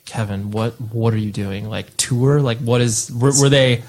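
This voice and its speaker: A man's voice: medium pitch, measured pace, a little mellow. Male interviewer, friendly casual questioner, frequent affirmations. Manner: friendly, chimes in with short agreements. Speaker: interviewer, podcast host.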